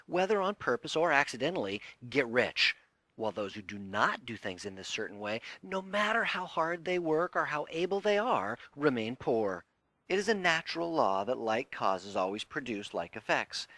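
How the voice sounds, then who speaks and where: Man reading an audiobook, lively intonation. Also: mid-range voice, no echo. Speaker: audiobook narrator. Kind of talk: scripted audiobook reading of a self-help text.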